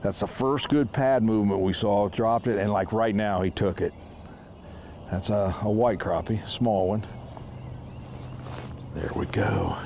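Low-voiced man explaining fishing outdoors. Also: measured pace, creaky, pauses often, a little hiss or hum. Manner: relaxed, explaining as he fishes. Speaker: fishing show host. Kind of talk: casual instructional talk while fishing. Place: small boat on a lake outdoors.

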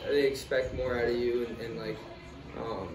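A young man answering interview questions in a relaxed, casual way, full of fillers. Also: medium pitch, moderate pace. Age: young adult. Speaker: guest, tennis player. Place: small room, in doors, seated in armchair. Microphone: far camera. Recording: roomy, distant, noisy.

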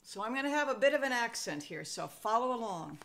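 An older American woman in her sixties reads a children's picture book aloud with expressive, folksy Western storytelling. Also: a little high, brisk, creaky. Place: indoor room at home.

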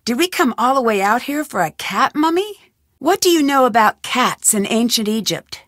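A woman's voice: fairly high pitch, expressive, normal speed, fairly bright tone, no room sound, clean studio sound. An actress voicing a curious, determined young female amateur detective in a video game, asking earnest questions. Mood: puzzled. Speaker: game protagonist. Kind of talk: studio video game voice lines.

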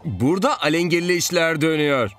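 An actor playing an eager, easily amazed young footballer in a cartoon dub, expressive and excitable. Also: male voice, a little high, fairly quick, faint music behind. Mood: suspicious. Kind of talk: Turkish animated cartoon dub.

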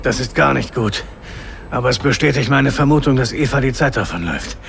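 A man's voice: mid-range voice, lively intonation, brisk, gravelly, fairly bright tone, soft background music. A man playing a stern father and leader of fugitives, explaining things with authority. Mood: grave. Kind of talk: audio drama acting.

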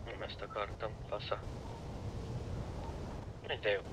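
A man's voice: fairly low pitch, little pitch movement. A man talking casually and crudely while playing an online game. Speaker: roleplay gamer. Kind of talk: casual in-game chat, slangy and sweary. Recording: dull lo-fi voice chat, faint engine noise.

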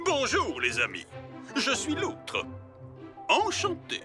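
A playful, boastful cartoon otter. The voice is high and swoops all over the place, drawing words out slowly and theatrically, with music underneath. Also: female voice, wildly swooping pitch, full character voice. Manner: exclamatory, giggly, theatrical. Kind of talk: animated children's cartoon dub.

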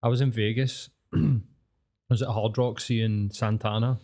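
A Scottish man telling a relaxed story in a casual podcast chat, with plenty of swearing. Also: lowish voice, measured pace. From Scotland.

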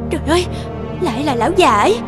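A woman's voice: sits high, expressive, quick pace, over music. An actress playing an anxious, guilt-ridden young mother in a cartoon, speaking in a fretful, hesitant, emotional way. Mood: panicked. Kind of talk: animated cartoon dubbing.

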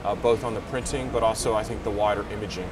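A man in his forties giving measured, halting interview answers as a trade association president. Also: fairly low pitch, fairly even intonation, a loud crowd. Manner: halting, measured, searching for words. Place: trade show booth, indoors. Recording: noisy trade show floor, slightly distant mic.

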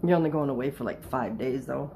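Woman with a deep voice filming and chatting teasingly behind the camera, with noise from the kitchen. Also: fast talker, vocal fry, mellow. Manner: teasing, laughing often. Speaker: camera operator and co-host. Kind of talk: casual chat from behind the camera. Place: home kitchen, indoors. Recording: noisy home kitchen.

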